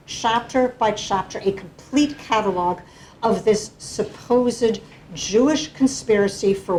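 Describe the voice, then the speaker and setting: A woman's voice: mid-range voice, a little mellow, slight background noise, poor audio. A woman speaking as a panelist, giving an academic lecture with slides in an explanatory way, often checking in with the audience.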